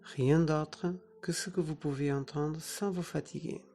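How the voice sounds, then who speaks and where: A yoga nidra teacher guiding a relaxation meditation in short, soothing phrases with pauses between them. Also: mid-range voice, steady tone, mellow, a little hiss or hum.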